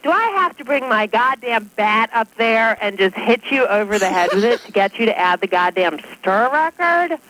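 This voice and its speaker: A woman's voice: fairly high pitch, animated, normal speed, few pauses, a bit bright, a little hiss or hum, poor audio. A woman record label executive leaving a brash, profane, scolding voicemail. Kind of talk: voicemail message.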